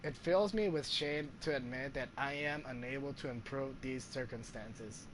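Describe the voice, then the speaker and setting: A man live streaming a game, reading story text aloud haltingly, often stumbling and correcting himself. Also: mid-range voice, a little hiss or hum, rough recording. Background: game music.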